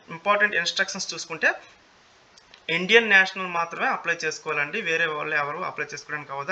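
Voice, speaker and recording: A man's voice: a little high, bright, forceful tone. A man with a Telugu Indian accent giving an informal explainer, repeating key details and mixing in English terms. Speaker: presenter of job notification videos. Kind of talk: informal explainer of a job notice. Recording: muffled lo-fi audio with faint noise.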